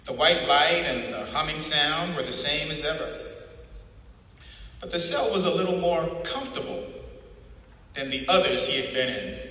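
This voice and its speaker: A man's voice: a little high, pushed and bright. A man giving a dramatic literary narration, reading from a novel. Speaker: reader. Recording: very echoey hall, long reverb.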